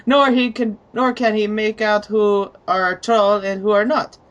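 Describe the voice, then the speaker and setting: Medium-pitched person, reading comments aloud, group dramatic reading. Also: steady tone, a little slow, fairly bright tone, lo-fi sound.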